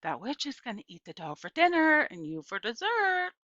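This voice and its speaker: Woman acting a bright-toned, jeering young boy. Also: on the high side, very animated, normal speed, dry recording, highs cut off, pitched above the performer's own voice. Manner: jeering, goading. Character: taunting bald young boys, about nine and ten.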